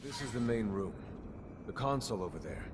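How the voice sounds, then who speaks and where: An actor playing a grave, world-weary, middle-aged master of a secret order in a video game, speaking in a measured, instructive way. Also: male voice, lowish voice, lively intonation, background noise, close to an everyday voice. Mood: solemn, disillusioned.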